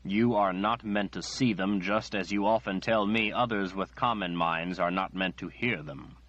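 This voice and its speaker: A grave older prophet speaks in a deep, husky voice, as a male narrator gives it. He is solemn and will not give in, heard on a dull, lo-fi recording. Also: normal speed, fairly bright tone. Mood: stern.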